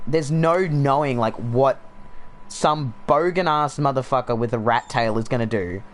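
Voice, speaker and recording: A young man with a laid-back Australian accent, chatting casually and sardonically while streaming a game, with lots of fillers. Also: mid-range voice. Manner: rambling, sardonic, frequent fillers. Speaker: gaming streamer.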